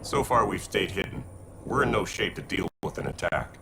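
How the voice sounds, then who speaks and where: A low, gravelly, weary militia commander in a space game. He is terse and grave, heard a little off-mic over noisy ambience. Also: animated, moderate pace, recorded from a distance, heavily acted. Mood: grim, weary. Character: weary, grim male space-station militia leader.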